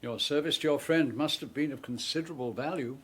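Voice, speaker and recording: An actor playing a bookish, pedantic gentleman in a radio drama, prim and dry in manner. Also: a little high, brisk, a little hiss or hum, close to an everyday voice. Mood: dryly analytical.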